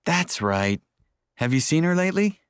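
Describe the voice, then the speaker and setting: Actor doing a friendly guy in a textbook chat, bouncy pitch, dry recording. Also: big pitch swings, moderate pace, clear, resonant tone, no echo. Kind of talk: scripted textbook dialogue.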